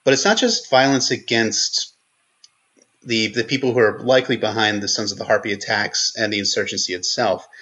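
Bright-voiced man, slightly lo-fi audio. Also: lowish voice, husky. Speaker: guest co-host on a book podcast. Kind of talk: conversational literary analysis.

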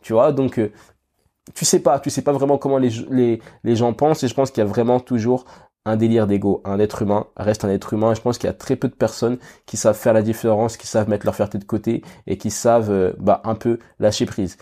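A man's voice: on the low side, fairly even intonation, fairly quick, warm tone. A man with a cold hosting a casual solo podcast, thinking aloud with many fillers and restarts and talking straight to the listener. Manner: addresses listener directly with "tu vois". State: has a cold, sniffling. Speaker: podcast host.

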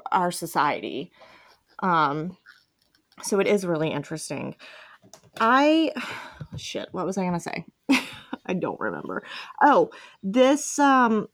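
A woman hosting a podcast, chatting casually and enthusiastically about fan fiction, swearing freely. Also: on the low side. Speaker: podcast host.

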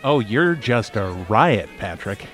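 A man's voice: fairly low pitch, very animated, slow, fairly bright tone, faint music behind, exaggerated voice. A man playing a long-suffering, sarcastic podcast host in a comedy audio drama, speaking drily. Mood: exasperated, sarcastic. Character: exasperated, sarcastic male podcast host herding his friends.

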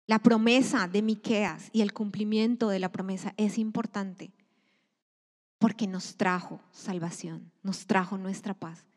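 A woman preaching a sermon to a church congregation. She speaks emphatically, swelling loud and then dropping to a near-whisper. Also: mid-range voice, fairly even intonation, measured pace. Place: church sanctuary. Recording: long hall reverb.

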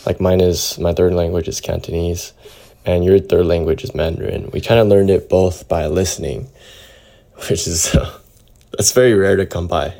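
A woman from the Netherlands chatting warmly in a recorded voice message, talking about learning languages. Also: a bass voice, steady tone, moderate pace, vocal fry. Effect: played-back voice message.

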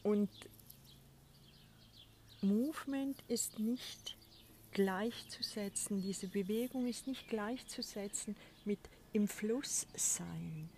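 A woman hosting a spiritual podcast, talking in a soft, breathy, reflective way from a balcony with birds behind her. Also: medium pitch, slow, slight background noise. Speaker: podcast host. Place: outdoors on a balcony. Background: birds and a crow.

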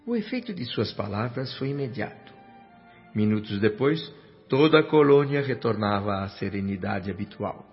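A man's voice: on the low side, lively intonation, moderate pace. Radio drama narrator: earnest male storyteller, literary and reverent delivery, slightly muffled recording with music underneath. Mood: reverent narration. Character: male doctor spirit recounting afterlife, reverent, earnest.

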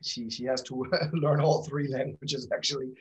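German man chatting casually, halting delivery. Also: medium pitch, level tone. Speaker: podcast guest, company founder. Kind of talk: casual interview chat.

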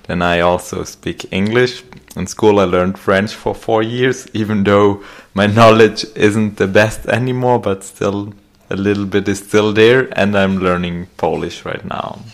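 A man's voice: fairly low pitch, fairly even intonation, a little slow. A young man hosting a casual podcast, guiding the talk with questions. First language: German. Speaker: podcast host.

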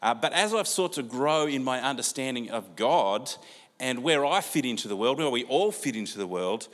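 An older Australian man giving a sermon in a relaxed, conversational way with many fillers, heard in a church hall with long reverb. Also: mid-range voice, normal speed. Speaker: preacher.

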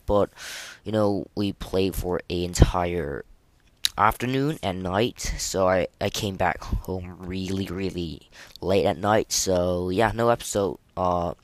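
A man hosting a casual, unscripted gaming podcast, speaking haltingly and often repeating and restarting his phrases. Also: low voice, dry recording. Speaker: podcast host.

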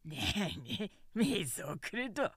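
A woman acts out a callous farmer in a storybook tale. He is rustic and mocking, drawing out his sneers with lively swings of pitch, recorded dry. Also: slow pace, breathy edge, no echo, exaggerated voice.

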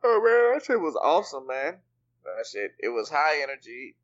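A man's voice: a little high, very animated, moderate pace, fairly bright tone, lo-fi sound. A man co-hosting a podcast, telling a story with enthusiasm and slang. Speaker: podcast co-host. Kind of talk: casual chat.

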